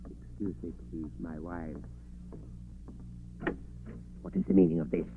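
A sly spirit-medium charlatan in an old radio drama. He speaks in a smooth, theatrical way and turns evasive and flustered easily. The recording is narrow and tinny, with faint hiss and hum. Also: low-pitched, unhurried. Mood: solemn, pompous. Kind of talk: 1940s radio acting. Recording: scratchy 1940s radio recording, muffled, narrow band, hum.

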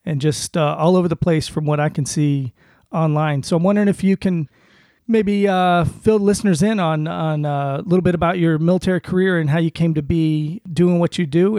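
A man's voice: a little high, gravelly, mellow, dry recording, studio quality. A man hosting a podcast, who introduces his guest and asks questions in a friendly, conversational way. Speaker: podcast host. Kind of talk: podcast interview.

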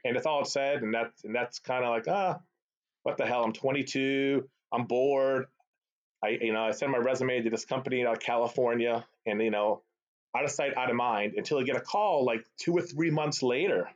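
A man's voice: medium pitch, normal speed. Chatty Boston man telling his story. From Boston area, USA. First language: Arabic. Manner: animated, chatty, tag questions like "right?". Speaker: guest, sales executive. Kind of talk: casual storytelling interview. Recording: slightly dull lo-fi call audio.

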